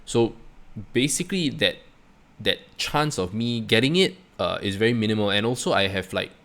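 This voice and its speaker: A middle-aged Singaporean-accented man speaks in a lowish voice with plenty of fry. He reflects casually as a channel host, stumbling over repeated words and tagging his phrases with small check-in questions. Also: animated, fairly bright tone. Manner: halting, repeats words, often tags phrases with "right?" and "you know". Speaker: host of a personal finance channel.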